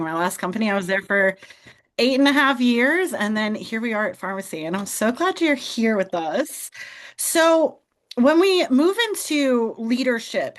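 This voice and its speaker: A woman hosting a team call, upbeat and enthusiastic, with a motivational manner. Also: medium pitch. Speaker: host.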